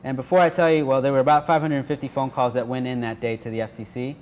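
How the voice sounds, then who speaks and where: A man presents informally to a workshop in a live room. His pitch is average for a man, and clear background noise sits behind his talk. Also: steady tone, quick pace, a little mellow. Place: indoor workshop room with an audience. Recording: noisy live room recording.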